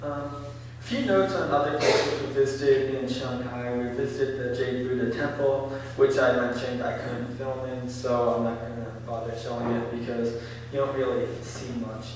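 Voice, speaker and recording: A man's voice: mid-range voice, steady tone, background noise. Teenage boy, echoey hall from far off. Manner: halting, full of ums and likes. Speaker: presenter. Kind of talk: informal talk to an audience. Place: senior center hall. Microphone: distant room mic.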